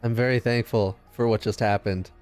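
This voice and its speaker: A man's voice: lowish voice, steady tone, moderate pace, darkish tone, smooth. A man chatting casually as a player in a tabletop roleplaying game, thinking aloud as he goes. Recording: music under the voice.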